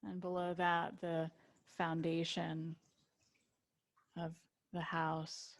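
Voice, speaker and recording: A woman teaching yoga nidra, guiding a relaxation meditation with gentle invitations and long pauses. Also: on the low side, completely flat delivery.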